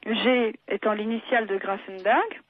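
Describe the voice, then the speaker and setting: A woman's voice comes thin and tinny through a phone line. She speaks as a doctor, explaining in a courteous, matter-of-fact, instructive manner at a middle pitch. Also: steady tone, normal speed. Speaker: doctor taking a phone call.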